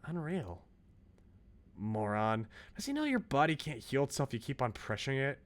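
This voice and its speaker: A man's voice: medium pitch, wildly swooping pitch, normal speed. A man voicing a bossy, brash young female workout buddy from a visual novel, scolding and emphatic. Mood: exasperated. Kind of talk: visual novel character read-aloud. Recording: game music behind the voice.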